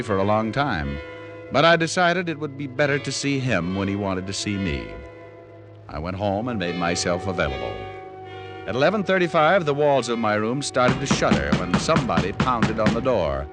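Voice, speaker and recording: An actor playing a cool, wisecracking private detective with an American accent and wry wit, in old-time radio drama style. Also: male voice, lowish voice, sing-song, moderate pace, over music. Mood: wry, narrating. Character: wisecracking, cool male private detective narrating his case. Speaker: lead actor and narrator.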